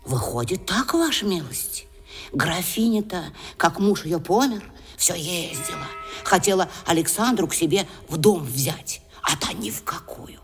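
A woman's voice: on the low side, expressive, a little slow. An actress playing a pious, deferential common woman in a period radio drama, sighing prayers in a folksy way. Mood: confiding, deferential. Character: pious, plain-spoken peasant-like common woman, lamenting. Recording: radio drama with faint music behind.

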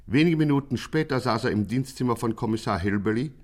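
A man narrating a satirical radio play in a measured, deadpan manner. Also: medium pitch.

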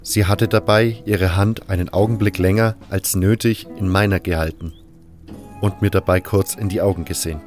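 A man's voice: sits low, fairly even intonation, normal speed, a little mellow. A man narrating a scripted first-person adventure story for a fan audio drama. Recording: music under the voice. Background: music.

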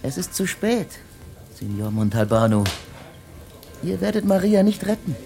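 Cornered jealous lover, bitter, defiant, agitated, volatile pitch, radio drama. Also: male voice, sing-song, a little slow, vocal fry, warm tone, faint music behind, full character voice. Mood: resigned, bitter. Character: cornered lovesick man, jealous, defensive, bitter, defiant under accusation. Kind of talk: German radio play acting.

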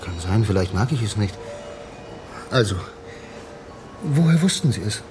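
Low voiced lonely blind noir hero, dry and wry, radio play w music under it. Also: male voice, big pitch swings, a little slow. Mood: quietly defensive. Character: wry, lonely blind man, hard-boiled film noir dreamer. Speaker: narrator and lead actor. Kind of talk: first-person noir radio drama. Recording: music behind the voice.

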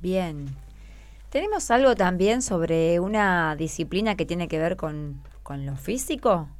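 A woman's voice: on the low side, animated, takes it slowly, dry recording. A woman with an Argentine accent hosting an unscripted radio interview, encouraging and prompting with short questions. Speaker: radio host interviewing schoolchildren. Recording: faint music behind. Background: faint music.

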